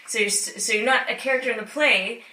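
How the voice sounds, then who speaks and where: Female guest performer, bright tone, casual discussion, roomy distant pickup. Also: a little high, fast. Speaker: guest radio theatre cast member. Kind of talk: casual group chat. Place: indoor rehearsal space.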